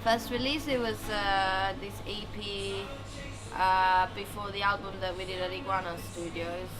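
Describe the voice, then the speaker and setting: A woman's voice: medium pitch, steady tone, a little slow, music in the background, low-quality recording. A woman from Italy, a band singer and lyricist, talking casually in an interview. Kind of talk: casual chat.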